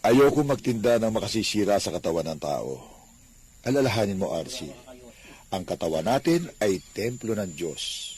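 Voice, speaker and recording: A man's voice: lowish voice, sing-song, normal speed, a little mellow. An actor playing a devout, firm and grateful elderly Filipino shopkeeper and father in a religious radio drama.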